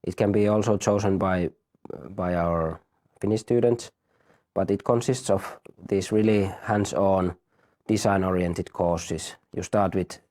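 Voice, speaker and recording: A man in his thirties, a university teacher, explaining a study program in a halting way, often repeating words. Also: low-pitched, fairly even intonation, darkish tone. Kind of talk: explaining in an interview.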